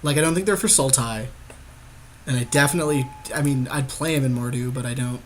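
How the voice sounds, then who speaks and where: A man's voice: medium pitch, brisk, slight background noise. A man co-hosting a gaming podcast, bantering informally and agreeing as he chats through an online card game. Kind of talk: casual chatty game commentary.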